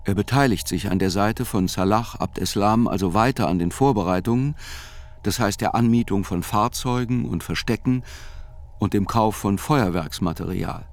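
A man reading a scripted literary chronicle as narrator, in a measured, deliberate and articulate way. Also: on the low side.

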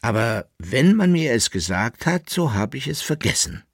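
A man voicing a wry, evasive fiddler who hesitates and feigns calm, in an audiobook story. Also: mid-range voice, moderate pace, creaky, breathy edge, dry recording, clean studio sound, voice pushed up, exaggerated voice. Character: wry, evasive, forgetful male fiddler, resigned yet cheerful.

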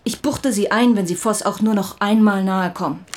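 An actress playing a tough, threatening chief inspector, blunt and commanding, in a radio drama. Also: female voice, mid-range voice, normal speed, a little hiss or hum, close to an everyday voice. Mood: confrontational.

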